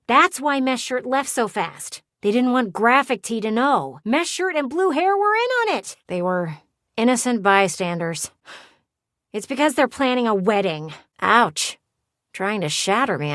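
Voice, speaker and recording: A nosy, dramatic female house window from a video game, acted by a woman. She shares gossip in a conspiratorial, sassy tone, with lively swings of pitch. The sound is dry and close. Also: no echo, close to an everyday voice. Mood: reluctant, disappointed, then wounded, defensive. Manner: conspiratorial, theatrical storytelling with teasing asides.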